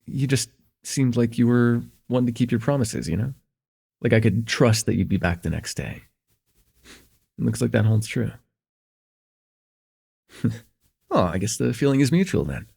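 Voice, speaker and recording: A man playing a shy, smitten young student in an intimate ASMR roleplay, with an earnest, hesitant delivery and a husky, slightly gravelly voice. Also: medium pitch, lively intonation, measured pace, long pauses, heavily acted. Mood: relieved, fond, then warm, teasing. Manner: hesitant, earnest, stammering, chuckling softly between phrases.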